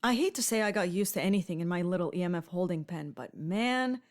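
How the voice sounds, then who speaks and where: A woman's voice: fairly low pitch, lively intonation, fast talker, natural voice. A woman playing a wry, techy secret agent, sardonic and casual, in an audio comedy drama.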